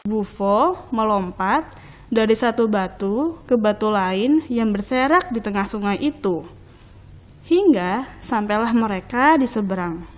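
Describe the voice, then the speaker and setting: A woman reading a children's storybook as narrator, in expressive, deliberate, measured phrases. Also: medium pitch.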